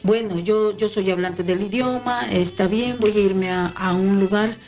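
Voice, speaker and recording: A woman's voice: medium pitch, unhurried, a little mellow. Hesitant woman, music behind. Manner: hesitant, many fillers and restarts. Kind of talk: casual conversation.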